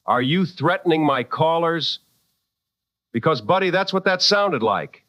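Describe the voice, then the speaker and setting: An actor playing a seasoned, protective late-night radio host in a drama, with a firm, wry and deliberate delivery. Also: male voice, on the high side, lively intonation, a bit bright, dry recording. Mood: indignant, protective.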